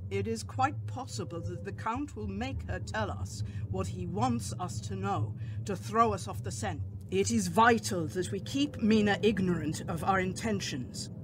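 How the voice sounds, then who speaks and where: An actor in a radio drama playing a grave, commanding old professor with a formal, deliberate delivery. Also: male voice, high-pitched. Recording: clear ambient noise behind voice.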